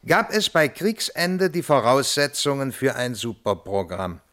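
An actor playing a sober, probing lawyer who questions a witness formally and matter-of-factly in a German radio play. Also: male voice, mid-range voice, very animated, measured pace, a bit bright. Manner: formal, matter-of-fact, insistent.

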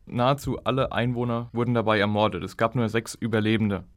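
A man's voice: fairly low pitch, steady tone, fast, dry recording, studio quality, natural voice. A man playing a sober documentary commentator in a radio play, explaining history in a factual, scripted way. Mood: sober, explanatory. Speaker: explanatory narrator.

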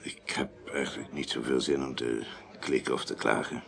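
An actor playing an embittered, washed-up politician and ex-naval officer near breakdown in a radio crime drama, speaking haltingly and with grievance. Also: male voice, a bass voice, fairly even intonation, vocal fry, fairly bright tone, full character voice. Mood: resigned, bitter. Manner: hesitant, halting, aggrieved. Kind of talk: 1980s Dutch radio play acting.

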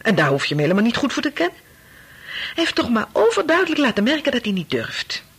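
An actress playing an anxious, probing wife in a Dutch radio play, husky and hesitant, repeating words as she presses her questions. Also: on the high side, wildly swooping pitch, fast, full character voice. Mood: indignant. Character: anxious, probing wife of a doctor, brooding and insistent.

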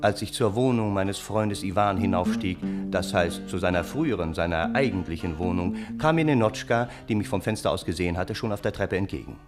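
A man acts a flustered gentleman of old Russia in a radio play. His voice sits fairly low, with clear music around it. He recounts his troubles earnestly, in literary phrasing, as a loyal and aggrieved friend. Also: brisk, few pauses, lightly acted. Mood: earnest, troubled. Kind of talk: radio play narration and dialogue.

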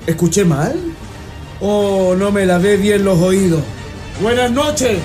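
A man's voice: sits high, slow pace, a little mellow, faint music behind. A man with a Chilean accent playing a menacing, mock-polite army captain in a radio drama. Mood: sinister sarcasm. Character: menacing, sarcastic army captain running interrogations.

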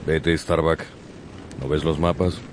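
Brief: male actor as a brooding captain, very deep, clipped and dismissive, noisy radio play. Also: level tone, slow. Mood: curt. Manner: curt, dismissive. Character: brooding obsessed sea captain poring over maps.

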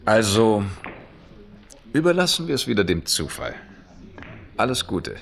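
An affable man who quietly probes, in a radio drama. The voice is low and gravelly, with very mobile intonation. His manner is polite, deliberate and insinuating. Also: big pitch swings, full character voice. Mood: pointed, casual. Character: probing, outwardly affable man, quietly persistent. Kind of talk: radio drama dialogue acting.

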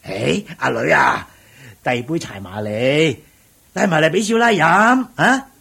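An actor playing a doting, fussy older husband in a Cantonese radio drama, with a Hong Kong accent and a coaxing manner. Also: male voice, a little high, animated, vocal fry, sharp tone, slightly rough, full character voice. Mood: bossy, jovial. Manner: chuckling, coaxing, drawn-out particles and repeated interjections.

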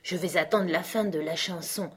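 A woman voicing an inquisitive, easily impatient young girl in a storybook, with proper, expressive phrasing. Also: lowish voice, moderate pace, husky. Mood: intrigued.

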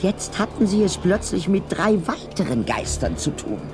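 A man's voice: sits high, sing-song, normal speed. A man narrating a scripted German audio drama as a storyteller. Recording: music underneath.

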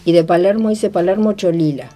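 An older woman with an Argentine accent reminisces in an interview, reflective and halting, her phrases trailing off. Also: on the low side, warm tone, faint music behind.

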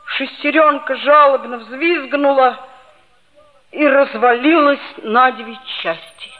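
A woman's voice: sits high, slow pace. A woman playing a boastful, sulky schoolboy who narrates his misadventures expressively in a 1960s Soviet radio drama. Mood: glum, worried. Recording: dull, lo-fi old radio recording.

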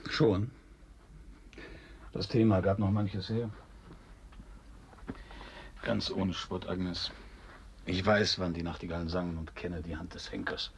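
An actor playing a mocking seafarer in a German radio play, declaiming in a sardonic, teasing way. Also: male voice, sits low, fairly even intonation, slow, creaky, long pauses, slight background noise, exaggerated voice. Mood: wry, musing. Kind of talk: German radio play acting, literary dialogue.

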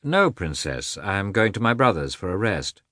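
Man as a reserved, courteous gentleman, crisply bright. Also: lowish voice, big pitch swings, moderate pace, dry recording, studio quality, heavily acted. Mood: polite. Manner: terse, courteous. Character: reserved, polite gentleman, brief and reluctant in answering. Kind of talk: audiobook character voice in a classic novel.

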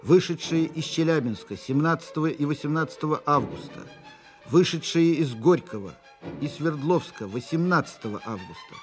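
An actor as a wartime official reading urgent military documents in a radio play. The man's voice is somewhat high, with formal, clipped, emphatic delivery. Light music runs behind the words. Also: lively intonation, moderate pace, close to an everyday voice. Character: official male reader of urgent military dispatches.

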